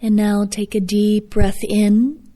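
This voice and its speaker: A woman guiding a meditation in a soft, hushed, breathy voice, speaking gently with long pauses between phrases. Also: mid-range voice, little pitch movement. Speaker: yoga nidra meditation guide. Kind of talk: guided meditation, scripted and soothing.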